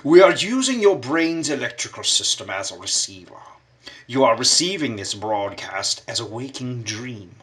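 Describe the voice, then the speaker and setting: Man playing a bright-voiced mysterious dream broadcast announcer. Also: mid-range voice, very animated, moderate pace. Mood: ominous, inviting. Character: mysterious male broadcast announcer from another dimension. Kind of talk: dramatic scripted radio show intro.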